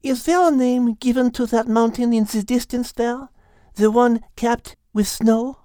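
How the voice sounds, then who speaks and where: A woman's voice: fairly high pitch, steady tone, measured pace, warm tone, no room sound, clean studio sound, lightly acted. A woman voicing a curious, refined female animal with a French accent, polite and precise.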